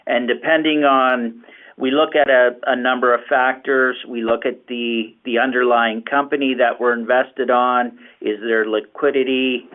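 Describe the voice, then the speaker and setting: A man speaking as a finance executive answering questions on an earnings call, explaining haltingly and repeating words. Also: medium pitch, level tone. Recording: phone-like, narrow conference call line.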